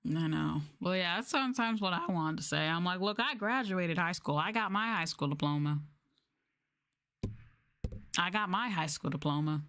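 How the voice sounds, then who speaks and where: A woman with a fairly low-pitched voice talks casually as a livestreamer. Her phrasing is informal and slangy. She tells stories with exasperation, slipping into quoted exchanges as she goes. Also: normal speed, fairly bright tone. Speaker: livestreamer and former English teacher.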